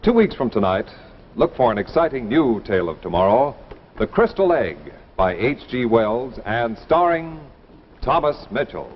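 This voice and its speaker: Animated male vintage TV announcer. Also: medium pitch, normal speed, slight background noise. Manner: dramatic, polished. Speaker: programme announcer. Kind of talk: 1950s television announcing. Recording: dull vintage TV soundtrack.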